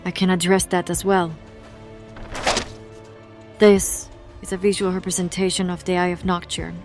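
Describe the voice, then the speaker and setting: A woman's voice: lowish voice, flat delivery, moderate pace, over music, natural voice. A woman playing a grave, knowing investigator of the occult who explains things in formal words in an audio drama. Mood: grave, ominous.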